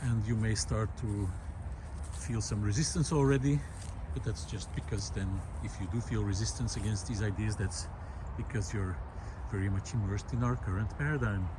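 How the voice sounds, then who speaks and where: A man, musing aloud in a walk-and-talk video. He talks reflectively and often pauses with "uh". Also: fairly low pitch, noisy background. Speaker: video essayist. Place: outdoor park lawn. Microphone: handheld camera while walking.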